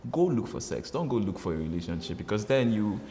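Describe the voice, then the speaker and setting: Deep-voiced, blunt Nigerian man. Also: sing-song, fast, mellow. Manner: blunt, frank. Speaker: interviewee. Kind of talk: candid interview answers. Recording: long reverb, faint hiss.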